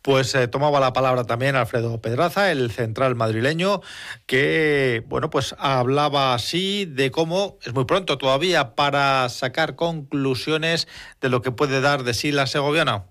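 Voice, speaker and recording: A man's voice: mid-range voice, moderate pace, a bit bright. Male sports radio presenter from Spain. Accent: Spanish from Spain. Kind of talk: sports news commentary linking interview clips.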